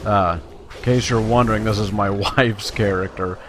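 A man's voice: on the low side, steady tone, normal speed, background noise. A man giving playful, casual commentary as he plays a video game, talking to himself and the game. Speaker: gaming video host.

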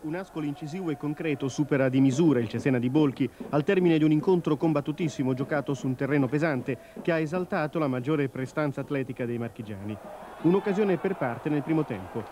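A man's voice: on the high side, fast talker. A man reading a scripted football match report for television. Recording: TV broadcast with clear stadium noise.

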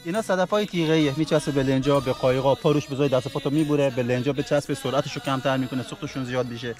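A man's voice: medium pitch, fairly even intonation. A man, a local fisherman explaining things unscripted outdoors on the shore. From southern Iran. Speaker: local fisherman and boat captain. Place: outdoors on a tidal seashore. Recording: music over the voice. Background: loud music.

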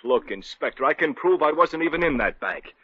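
An actor playing a melodramatic, protesting young-hearted stage actor in a 1940s radio comedy. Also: male voice, on the high side, expressive, brisk, slightly rough, dry recording. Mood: indignant. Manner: protesting, theatrical. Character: indignant, melodramatic struggling actor, wrongly accused. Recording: dull vintage radio recording.

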